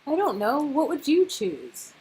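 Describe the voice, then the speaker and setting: A woman's voice: high voice, wildly swooping pitch, a little slow, a little mellow, voice pushed up, heavily acted, low-quality recording. A woman acting out a wistful, affectionate young woman in a romantic game story, hesitant and tender. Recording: clear background noise.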